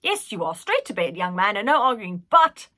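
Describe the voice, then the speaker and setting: A woman's voice: mid-range voice, big pitch swings, normal speed, bright, forceful tone. A woman voicing a plump, cheery old nanny in her eighties who fusses and scolds fondly with emphasis. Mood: firm, bossy. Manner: fussing, emphatic, scolding affectionately.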